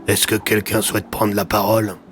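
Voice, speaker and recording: A man playing a grave, terse police commissioner with a husky voice, in an audio drama. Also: lowish voice, monotone, normal speed, fairly bright tone, faint music behind, natural voice. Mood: sober.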